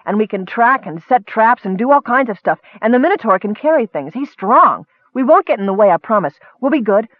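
A man voicing an eager, excitable young male kender who gushes with wonder in an audiobook fantasy tale. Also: high voice, brisk, hardly any pauses, no room sound, narrow, tinny audio, pitched above the performer's own voice. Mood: eager pleading. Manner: gushing, breathless rush of questions.

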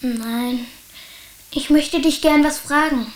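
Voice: sits high, slow pace. A performer playing a curious, persistent young boy who asks earnest questions in a German radio drama. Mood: earnest, pensive. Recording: roomy sound, faint noise, clicks.

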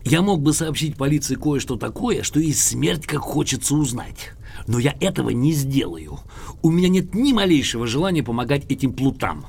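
An actor in an audio drama playing a cranky, boastful, litigious old English gentleman, emphatic, pompous and theatrical, with a creaky, husky voice. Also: mid-range voice, expressive, moderate pace. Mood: sly. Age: older.

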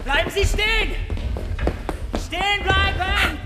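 High-pitched agent barking orders. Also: male voice, slow, loud music behind the voice. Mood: aggressive, agitated. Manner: shouted, clipped commands. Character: aggressive armed state agent barking orders. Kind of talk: radio drama dialogue.

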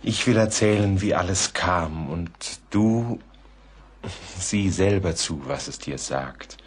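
A deep, brooding husky writer. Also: male voice, exaggerated voice. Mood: earnest recollection. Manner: brooding, deliberate, literary. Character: reflective, melancholy male writer recounting a mystery. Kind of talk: dramatic radio play narration.

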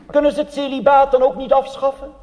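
A man's voice: very high-pitched, completely flat delivery, quick pace, a little mellow, a little hiss or hum, voice pushed up. A man voicing a naive, eager Protestant minister in a comic stage dialogue, emphatic and cheerfully insistent, in a reverberant live hall. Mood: eager, puzzled.

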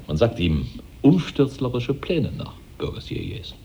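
A man acting in a German radio play as an embittered, suspicious head of a revolutionary government, formal, aggrieved and dramatic, with a husky, dark voice. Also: medium pitch, big pitch swings, a little slow, a little hiss or hum.

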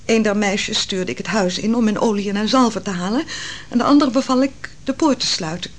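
A woman's voice: mid-range voice, fairly quick, natural voice. A woman acting an anguished, virtuous wife in a Dutch radio play, telling her story in a solemn, dramatic manner. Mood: distressed, recounting. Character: Susanna, anguished virtuous wife of a royal counsellor.

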